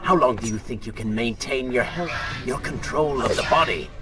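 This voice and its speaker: An actor playing a prince's sardonic dark alter ego in a video game, mocking, sly and insinuating. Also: male voice, medium pitch, normal speed, close to an everyday voice. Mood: mocking menace. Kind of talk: theatrical video game villain acting. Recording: noisy game capture with ambience.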